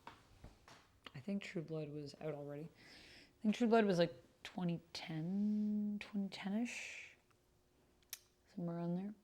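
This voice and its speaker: A woman's voice: on the low side, measured pace, pauses often, warm tone. A woman giving casual commentary as she types and reads chat aloud. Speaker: host of a solo roleplaying video. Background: computer keyboard typing.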